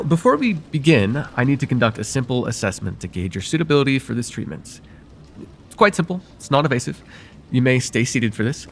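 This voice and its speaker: A man playing a courteous nocturnal vampire therapist in an ASMR roleplay, speaking formally and gently to the listener in a soothing, slightly hesitant way. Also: medium pitch, a little hiss or hum, close to an everyday voice. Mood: polite, probing.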